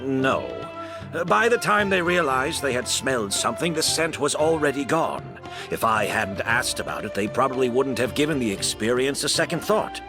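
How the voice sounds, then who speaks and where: An actor playing an earnest, apologetic host in a video game, polite and slightly hesitant. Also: male voice, medium pitch, very animated, moderate pace, music in the background.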